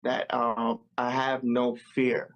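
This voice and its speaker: A man's voice: mid-range voice, completely flat delivery, slow. A young man born in Jamaica and raised in America, a musician guest talking over a video call in a loose, reflective way.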